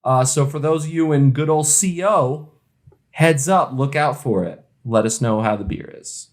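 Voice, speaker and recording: A man's voice: fairly high pitch, unhurried. A man co-hosting a podcast, talking informally and colloquially through news and banter. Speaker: podcast co-host.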